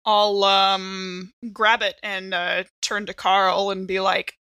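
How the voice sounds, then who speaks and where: A woman's voice: medium pitch, little pitch movement, slow pace, sharp tone, clear, resonant tone, no echo. A woman playing casually in a tabletop game, slipping between her own talk and her character's lines. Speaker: player in a tabletop game podcast.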